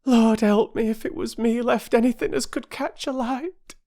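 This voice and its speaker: A man's voice: extremely high pitch, steady tone, moderate pace, vocal fry, mellow, pitched above the performer's own voice. A man doing a frantic, terrified barge mother. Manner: crying out in terror.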